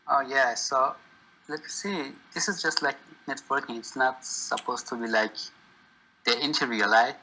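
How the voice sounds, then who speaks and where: Bright-voiced man. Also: mid-range voice, fairly even intonation, rough recording. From Iran. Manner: friendly, lists tech with short pauses. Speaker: software engineer. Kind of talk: casual networking chat. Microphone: video call.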